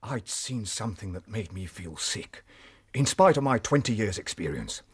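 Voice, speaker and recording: Husky, grave veteran police inspector. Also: male voice, on the low side, big pitch swings, normal speed, full character voice. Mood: sombre recounting. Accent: British. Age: middle-aged. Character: earnest, shaken veteran male police inspector. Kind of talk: radio drama acting.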